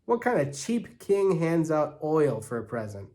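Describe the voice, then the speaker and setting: A man acts out a tough young girl from a storybook. She is blunt and scornful, grumbling her complaints with indignation at an unhurried pace. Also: fairly high pitch, sing-song, dark tone. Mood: indignant.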